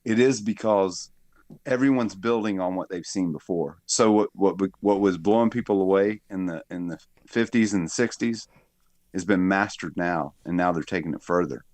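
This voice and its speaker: A man in a casual podcast chat, thinking out loud with reflective tag questions. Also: deep voice, moderate pace, no echo. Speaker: podcast co-host.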